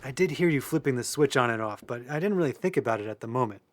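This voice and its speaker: A man's voice: medium pitch, expressive, fast talker, slight background noise, lightly acted. An actor playing a level-headed man who keeps the peace, speaking in a reasoning, conciliatory way in an audio drama. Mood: reasonable, reassuring. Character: level-headed male peacemaker in a paranormal group.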